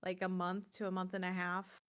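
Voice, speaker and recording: A woman hosting a gaming show in casual chat, often slipping into animated quotes and asides. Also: on the low side, a little fast, smooth. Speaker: host of a gaming news show. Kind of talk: casual chat about video games.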